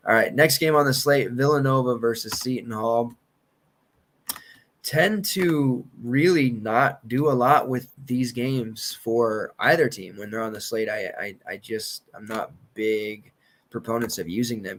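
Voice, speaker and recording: A man in his thirties, losing his voice and clearing his throat, rambling casually through sports betting picks. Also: mid-range voice, a bit bright. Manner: loose, rambling, confident picks with filler and restarts. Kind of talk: casual solo podcast commentary.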